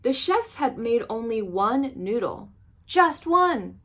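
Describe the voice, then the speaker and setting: Slow, very animated woman reading a storybook. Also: fairly high pitch. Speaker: storybook reader. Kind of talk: expressive children's read-aloud narration.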